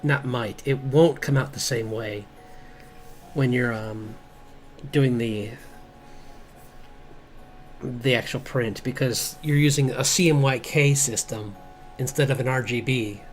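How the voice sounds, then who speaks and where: A man streaming as he draws, chatting casually in a halting, rambling way as an artist. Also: medium pitch. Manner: halting, rambling, chuckling asides. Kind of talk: casual livestream chat while drawing. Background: background music.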